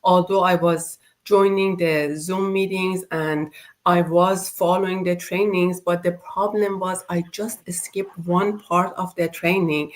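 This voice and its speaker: Lower-voiced woman hosting a chat. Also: rough recording. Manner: hesitant, halting with fillers. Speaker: host. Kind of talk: casual group chat.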